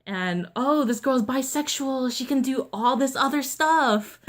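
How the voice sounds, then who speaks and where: A young woman vlogger with a deeper voice than most women, telling a personal story in a candid, blunt, chatty way with lots of fillers. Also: a little slow. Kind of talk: casual storytime vlog.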